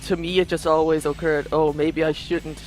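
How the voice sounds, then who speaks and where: A man's voice: a little high, level tone, moderate pace, darkish tone, rough recording. A man giving casual, conversational commentary on a video game. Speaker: let's play commentator. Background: game music.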